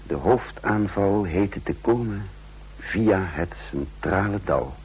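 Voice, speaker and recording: An actor playing a composed intelligence agent who recounts and plays out his mission in a radio drama, speaking in a measured, formal way. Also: low-pitched, lively intonation, husky, heavily acted. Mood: measured, pensive. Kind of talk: radio drama narration and dialogue. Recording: noisy old radio drama recording.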